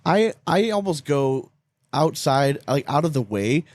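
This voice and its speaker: A man's voice: fairly high pitch, big pitch swings, a little slow, darkish tone. A man co-hosting a podcast in casual, profane banter, talking in an emphatic, rambling and sarcastic way. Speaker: podcast co-host.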